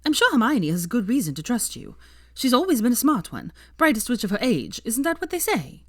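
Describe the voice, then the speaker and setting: Woman acting bold young woman, effusive, firm, high lifted voice, storybook character. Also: wildly swooping pitch, fairly quick, fairly bright tone, no room sound, clean studio sound, heavily acted. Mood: reassuring. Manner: emphatic, firm, exclaiming.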